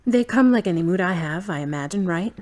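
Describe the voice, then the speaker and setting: Actress as caring, frank woman, slightly low voice, conversational, matter-of-fact, gently teasing, audio drama. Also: animated, fairly quick, close to an everyday voice. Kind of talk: hobby audio drama acting.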